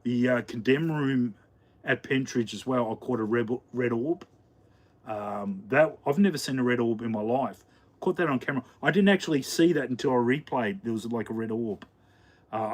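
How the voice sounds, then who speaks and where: Chatty Australian man rambling on a livestream. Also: medium pitch. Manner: rambling, chatty, many fillers and restarts. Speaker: livestream host. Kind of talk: casual live chat while cooking. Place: home kitchen.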